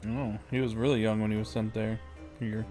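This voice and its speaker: A woman's voice: a bass voice, flat delivery, a little fast, mellow, soft background music. A woman reacting casually to a game as a streamer, in a playful, teasing way.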